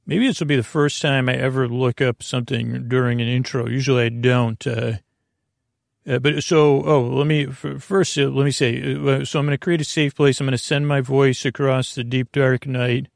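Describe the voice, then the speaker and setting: A man hosting a bedtime podcast, rambling slowly in creaky, soothing tones, wandering off on tangents and restarting his sentences. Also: medium pitch, steady tone, dry recording, clean studio sound. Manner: meandering, halting, full of tangents and restarts. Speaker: podcast host. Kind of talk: rambling sleepy-time podcast talk.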